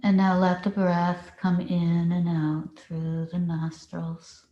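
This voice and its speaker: A woman leads a guided meditation in an extremely unhurried way, leaving long pauses between phrases. Her tone is mellow and relaxed, and the room around her adds a clear echo. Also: on the low side, muffled recording. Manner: slow, deliberate instructing with long pauses. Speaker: meditation teacher. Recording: roomy, echoing space.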